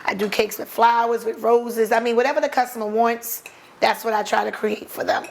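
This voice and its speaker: Gravelly New York grandmother baker. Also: on the high side, fairly even intonation, a little fast, noisy background. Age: older. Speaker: guest, baker. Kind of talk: casual interview.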